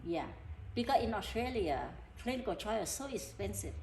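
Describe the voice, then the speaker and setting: A middle-aged woman from Vietnam with a Vietnamese accent, a healer talking earnestly in halting, broken English. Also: medium pitch, animated, dark tone. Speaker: energy healer. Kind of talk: casual interview talk. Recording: echoey room with background noise.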